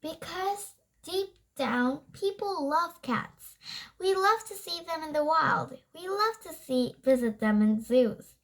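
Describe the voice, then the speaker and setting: A child reading a nonfiction book aloud, haltingly, stumbling over and rereading words. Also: high-pitched, expressive, fairly bright tone, poor audio.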